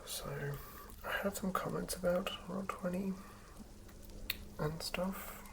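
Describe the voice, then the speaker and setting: A hushed ASMR host speaks in an unhurried, halting whisper with a creaky, crackling edge. The pitch sits in a middle range. The lo-fi recording has clear background noise and small clicks behind the voice. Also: level tone. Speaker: host of tabletop roleplaying ASMR videos. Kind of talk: whispered ASMR, reading and commentary.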